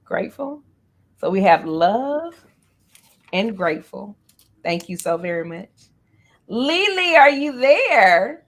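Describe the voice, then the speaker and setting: A woman's voice: medium pitch, very animated, slow, pauses often. A woman hosting a casual talk show interview, speaking to guests in an encouraging, playful way.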